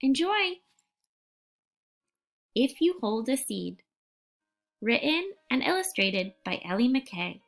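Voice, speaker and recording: A woman reading a picture book aloud for young children and giving gentle instructions, phrasing things deliberately and carefully. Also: high-pitched, big pitch swings, creaky.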